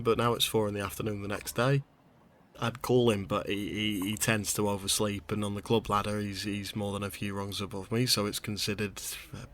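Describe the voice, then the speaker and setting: A man's voice: lowish voice, fairly bright tone, lightly acted. A man playing a hesitant, deferential amateur sportsman in a comic monologue, rambling and understated. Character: hesitant, deferential amateur tennis player, mildly absurd.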